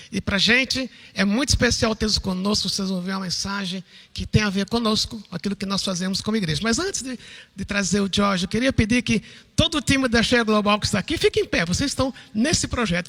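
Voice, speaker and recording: Brazilian male pastor, high voice, introducing guests to a congregation over a reverberant church sound system. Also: fairly bright tone. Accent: Brazilian Portuguese. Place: large church hall, speaking to congregation.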